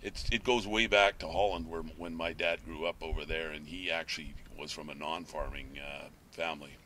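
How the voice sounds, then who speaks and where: A man's voice: rumbling low, steady tone, normal speed, sharp tone. A middle-aged man from Canada, a nursery company president, answering interview questions casually and rambling with many restarts. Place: outdoors on a farm, then inside a greenhouse. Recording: noisy outdoor recording.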